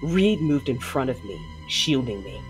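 A woman playing a fierce, grieving warrior mother who narrates her own story in a measured, dramatic audio drama style. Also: a bass voice, big pitch swings, exaggerated voice, low-quality recording. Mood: anguished. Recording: voice over a music bed.

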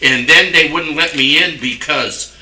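A man's voice: fairly high pitch, unhurried, pushed and bright. An older man speaking defensively and insistently as a board member at a public meeting. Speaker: town select board member. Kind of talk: argumentative speech at a public meeting. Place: wood-panelled municipal meeting room. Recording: echoey meeting room, distant microphone.

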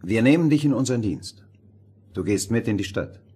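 An actor playing a refined, pompous gentleman, sly and measured, in a fairy-tale radio play. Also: lowish voice, expressive, slightly rough. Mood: commanding. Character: smooth-mannered, condescending noble gentleman, probing and sly.

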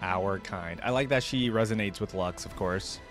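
A man's voice: low-pitched, normal speed, over music. A man in his twenties reacting casually, thinking aloud and putting questions to viewers, close on a desk microphone. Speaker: reaction video host. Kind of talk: casual reaction commentary on game voice lines. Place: indoor desk setup at home. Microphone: desk microphone close to the mouth.

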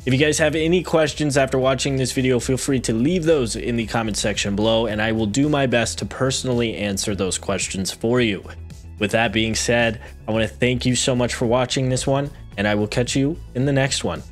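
A man in his thirties hosting a software tutorial, speaking in a friendly, direct way to viewers into a close microphone. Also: mid-range voice, fairly quick, soft background music. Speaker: video tutorial host. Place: small room indoors at a desk. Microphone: close desk microphone with headset on.

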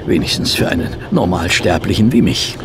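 A man's voice: low voice, expressive, brisk. An actor playing a detective's puzzled, plain-minded male companion, flustered and questioning in a radio play. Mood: mildly put out. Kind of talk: German radio play dialogue. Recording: clear vehicle noise behind.

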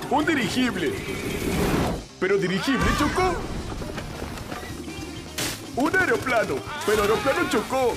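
A man's voice: very high voice, full character voice. A man voicing a dim, good-hearted cartoon jungle hero who talks in broken sentences. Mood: excited. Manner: simple broken grammar, talks in third person. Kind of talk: children's cartoon dub. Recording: music behind the voice.

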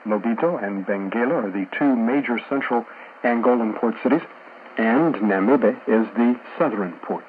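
A man working as a radio host and journalist, reading scripted discussion in a measured, formal, informative way over shortwave radio. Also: medium pitch. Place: radio studio, heard over a shortwave receiver. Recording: muffled noisy shortwave radio broadcast.

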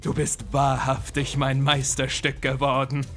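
A man voicing a sinister, obsessed mad surgeon in a horror audio drama, speaking deliberately and menacingly. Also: medium pitch, monotone, a bit bright, soft background music. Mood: calm, sinister. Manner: slow, deliberate, menacing delivery. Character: sinister mad surgeon with unnatural glowing eyes, obsessed creator.

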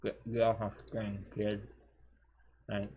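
A man's voice: on the low side, monotone, slow, a little mellow, a little hiss or hum. A young man, a gaming streamer, giving casual, sweary, slangy commentary as he plays. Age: young adult. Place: indoors at a computer.

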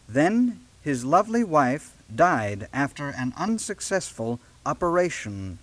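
A man narrating a children's read-along book in a measured, deliberate storytelling style with dramatic emphasis, on a late-1970s audio cassette. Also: a little high, dry recording.

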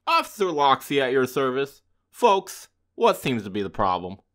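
Greedy male fox villain voiced by a woman; low, sneering, slow, wildly swinging delivery. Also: big pitch swings, a bit bright, exaggerated voice. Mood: smooth fake officer. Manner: sneering, scheming, gloating. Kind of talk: storybook reading.

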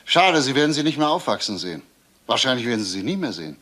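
A man's voice: medium pitch, sing-song, fast talker, fairly bright tone. A man playing a relentless, sarcastic police inspector in a crime radio drama, firing insistent questions. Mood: cool, taunting.

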